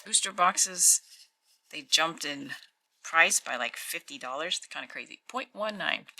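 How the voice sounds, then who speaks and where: A woman with a croaky morning voice, speaking slowly with a bright, lively pitch. She muses and reads out numbers in a relaxed way while unboxing at a tabletop, with a faint hiss and some light clicks behind her. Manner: slow, musing, reads out weights. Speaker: channel host opening card packs. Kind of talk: casual hands-only unboxing commentary. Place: indoors at a tabletop.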